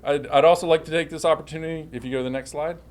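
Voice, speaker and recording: Man, police chief, public slide presentation, halting delivery, repeated words and self-corrections. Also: a little high, steady tone.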